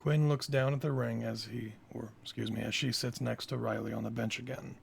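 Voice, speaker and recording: A man with a gravelly, husky voice reading stage directions as scripted narration at a table read. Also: medium pitch, steady tone, fairly quick, no echo.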